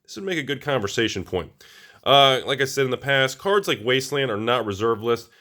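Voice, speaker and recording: An American man with a slightly raspy voice, a little under the weather, talking casually and ramblingly to viewers as he reviews products. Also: medium pitch, animated, fairly quick, sharp tone. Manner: informal, rambling, addresses viewers directly. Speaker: collectibles channel host.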